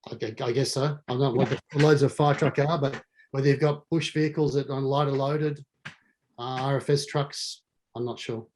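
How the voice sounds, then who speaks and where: A man speaking for the council at a community session. He answers each question in a measured, explanatory way. His voice is mid-pitched. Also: fairly even intonation, a little mellow, slight background noise, rough recording. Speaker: council engineer or project officer. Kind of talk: answering questions at a public meeting.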